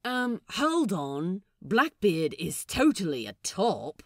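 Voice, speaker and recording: Woman acting a male pirate crewman, opinionated, insistent, flustered, animated intonation, storybook comedy. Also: medium pitch, slow pace, no room sound, clean studio sound, pitched above the performer's own voice, exaggerated voice. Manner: insistent, hesitant interjections.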